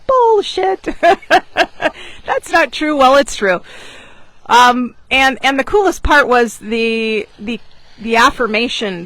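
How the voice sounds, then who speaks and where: A woman who grew up in England with American parents tells a personal story as a manifestation coach, expressively, with dramatic pauses for emphasis. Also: a little high, fairly bright tone, no echo, rough recording. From born in Japan, grew up in England, American parents. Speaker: manifestation coach and class teacher. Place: outdoors on a beach.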